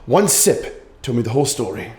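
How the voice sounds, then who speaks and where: Man playing a brilliant detective, crisp, commanding, mid-pitched voice, echoey room. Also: sing-song, normal speed, a little mellow, natural voice. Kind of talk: audio drama acting.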